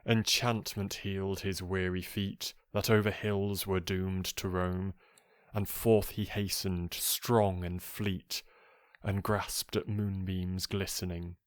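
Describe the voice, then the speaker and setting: A man reading poetry for relaxation in a calm, measured, soothing manner. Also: low voice, clean tone, no echo, clean studio sound. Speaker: poetry reader.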